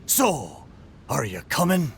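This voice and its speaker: Jolly talking boat for a game: old sea-dog swagger, slow delivery, hugely animated. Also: male voice, medium pitch, vocal fry, slight background noise, exaggerated voice. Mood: hearty, earnest. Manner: boastful, hearty pirate talk with nautical slang. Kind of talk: video game character acting.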